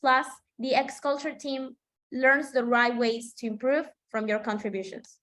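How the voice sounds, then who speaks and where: A sixteen-year-old girl from Peru presenting slides in an online meeting in a measured, explanatory way. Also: sits high, a bit bright. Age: teenager, sixteen. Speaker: student presenter. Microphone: video call.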